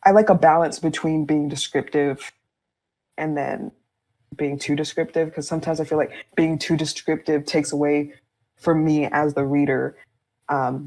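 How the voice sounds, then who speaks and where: A woman talking casually and candidly as a podcast guest, informal and quick to laugh as she speaks. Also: low-pitched, darkish tone. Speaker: fan fiction writer, podcast guest.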